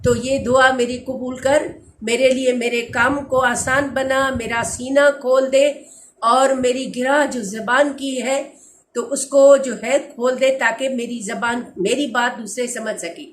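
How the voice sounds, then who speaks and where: A woman's voice: high-pitched, fairly even intonation, measured pace, a little hiss or hum. A woman giving a lecture on grammar, patiently explaining each word and repeating key terms. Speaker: teacher. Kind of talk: slow Quranic grammar lecture.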